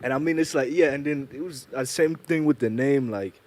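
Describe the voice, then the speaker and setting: A man's voice: medium pitch, a little fast, a little mellow. A man from Ghana, a panel guest speaking hesitantly with many fillers in a casual group chat.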